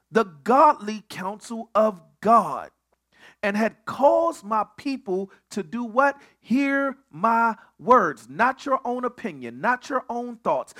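A man's voice: high-pitched, fairly bright tone. A male pastor preaching at a midweek Bible study, building on repeated phrases and often stumbling over restarts.

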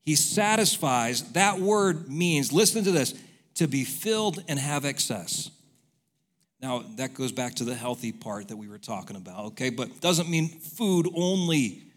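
A man's voice: medium pitch, animated, unhurried. A man preaching a sermon as a pastor, in an emphatic, repetitive, conversational way with rhetorical questions. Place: large hall, church service. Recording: echoey hall reverb with music behind.

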